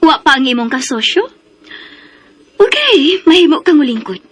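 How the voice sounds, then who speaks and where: A protective mother who stays courteous and firm. Her voice is high and her delivery measured, in a radio drama with a slightly noisy recording. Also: very animated. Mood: polite, hospitable. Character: firm, polite, protective mother of grown daughter.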